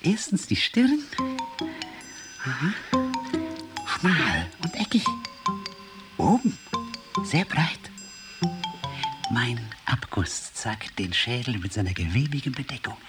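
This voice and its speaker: Distant, pedantic anatomist, swinging pitch. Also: male voice, sing-song, slow pace, long pauses, music in the background. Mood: self-important. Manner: clipped phrases, pedantic, emphatic. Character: exacting, self-important male skull-measuring anatomist. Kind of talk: radio drama acting.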